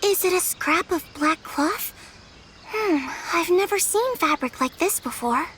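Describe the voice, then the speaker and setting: A woman voicing a small, childlike, curious companion in a video game, expressive and inquisitive. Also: extremely high pitch, a little slow, a little hiss or hum, heavily acted. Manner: expressive, questioning.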